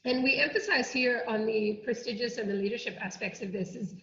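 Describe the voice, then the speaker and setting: A woman's voice: fairly high pitch, fairly quick, creaky, a bit bright. A woman with an American accent giving an earnest, explanatory academic presentation at an online workshop. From United States. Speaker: academic oceanographer presenting a programme. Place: indoor room, online workshop. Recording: muffled, roomy online-call audio.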